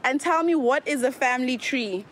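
A woman interviewing people on the street, upbeat and quick with her questions and thanks. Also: high-pitched, a bit bright. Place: outdoors on a street. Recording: street recording with faint noise.

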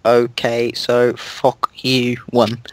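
A young man giving a deadpan, mocking dramatic reading of a story, with sarcastic asides. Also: mid-range voice, extremely slow, dry recording. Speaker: reader.

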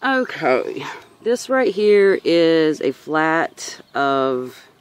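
A woman's voice: low voice, big pitch swings, extremely slow, clear, resonant tone. A woman in her thirties giving a casual, rambling vlog-style tour as a gardener, recorded outdoors on a handheld camera. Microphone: handheld camera or phone.

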